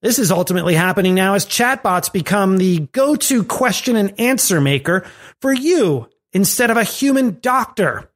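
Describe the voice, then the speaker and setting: A man hosting a tech and marketing podcast, reading a scripted segment with lively rising and falling emphasis. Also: sits high, normal speed. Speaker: podcast host.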